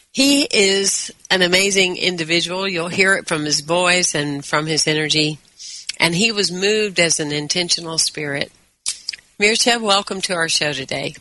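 Bright-voiced talk show host. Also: mid-range voice, no echo, low-quality recording. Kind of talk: interview hosting.

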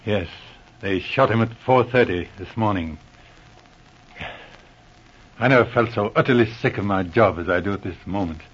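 Resolute, sharp-witted inspector, authoritative and slightly rough-voiced, vintage radio drama with dull sound. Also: male voice, on the low side, moderate pace, pauses often. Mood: weary, sickened. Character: shrewd, resolute male military police inspector. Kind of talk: 1940s radio drama acting. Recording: muffled old radio recording.

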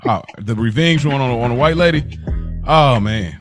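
Male podcast co-host, mid-range pitch, excitable slangy banter, clear music bed underneath. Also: moderate pace. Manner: animated slangy reactions. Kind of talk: casual chat.

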